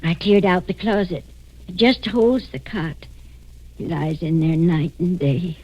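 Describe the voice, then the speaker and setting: An actress playing an anxious mother who pleads haltingly to protect her fugitive grown son, in 1940s radio drama style. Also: on the low side, slightly rough. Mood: protective, earnest. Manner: halting, distressed, earnest pleading. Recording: dull lo-fi vintage radio recording.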